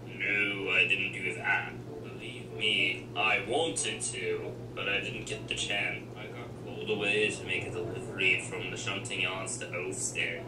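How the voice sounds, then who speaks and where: Devious grumbling diesel locomotive male actor, deep and gruff, heard from afar over loud cartoon music. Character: troublesome, devious male diesel engine, grumpy. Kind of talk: comic dub character acting. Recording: roomy, distant playback under loud music.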